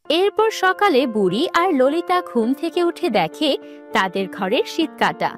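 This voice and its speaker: A woman's voice: high voice, big pitch swings, moderate pace. A woman reading scripted narration for a fairy-tale story. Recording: music behind the voice.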